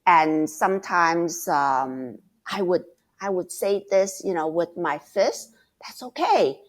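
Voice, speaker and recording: A middle-aged woman guest with a loud voice, speaking passionately and emphatically, often restarting her sentences. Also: lowish voice, expressive, slow. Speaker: guest mentor. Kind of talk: conversational interview.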